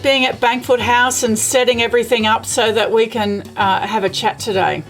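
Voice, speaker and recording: A woman's voice: on the high side, fairly even intonation, normal speed, soft background music, low-quality recording. A woman hosting a casual interview, speaking in an effusive, enthusiastic way. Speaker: interview host. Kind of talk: casual video call interview. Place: remote, not at the guest's location.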